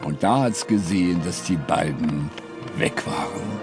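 Low gravelly guy reading a winter fairy tale slow, music playing under him. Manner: slow, lively storytelling with gravelly tone. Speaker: audiobook narrator. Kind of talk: scripted fairy-tale narration. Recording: studio read with clear music bed.

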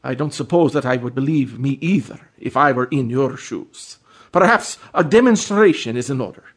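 Husky, courtly vampire. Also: male voice, medium pitch, expressive, normal speed. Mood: dry, patient. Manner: formal, precise speech. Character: weary, courtly male vampire longing to be human. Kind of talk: radio play acting.

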